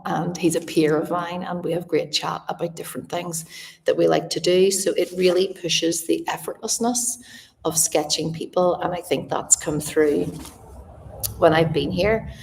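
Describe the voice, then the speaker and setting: A woman's voice: fairly low pitch, vocal fry, big-room sound. A woman with a Northern Irish accent, chatting casually and directly to her viewers in a live stream from a large old house. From Northern Ireland. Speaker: artist livestreaming to viewers.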